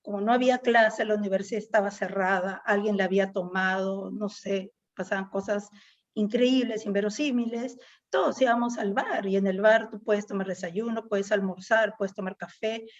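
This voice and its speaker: A woman's voice: mid-range voice, fairly even intonation, moderate pace, muffled recording. A middle-aged woman from Peru with a Peruvian Spanish accent, speaking as a guest poet in a reflective interview, often ending thoughts with "¿no?". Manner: reflective, with frequent "¿no?" tags and "este" fillers. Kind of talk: conversational interview.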